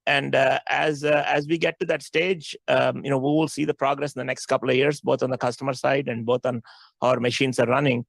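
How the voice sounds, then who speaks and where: A male company executive explains things on an earnings call, repeating himself for emphasis. His pace is quick and his voice gravelly, with a dry, close sound. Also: mid-range voice, level tone, dry recording.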